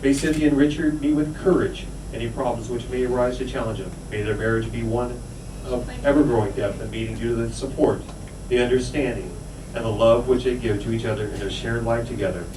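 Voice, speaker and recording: Man officiating a wedding, formal and measured. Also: mid-range voice, fairly even intonation, background noise. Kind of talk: wedding ceremony address. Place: wedding hall, indoors. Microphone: camcorder from across the room. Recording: roomy home camcorder audio from far off.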